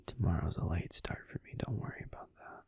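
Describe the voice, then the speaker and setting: A man voicing a caring young werewolf boyfriend in a gentle, breathy, unhurried whisper for a sleep-aid roleplay, close to the microphone. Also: muffled recording, lightly acted. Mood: tender, protective. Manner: gentle, soothing, unhurried reassurance with pauses. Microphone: close, dry microphone.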